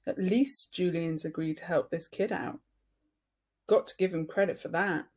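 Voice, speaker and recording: Woman reading as a firm, earnest mother, husky edge. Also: on the low side, moderate pace, a little mellow, lightly acted. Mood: reproving. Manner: scolding, earnest, emphatic. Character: firm, earnest mother of two young boys.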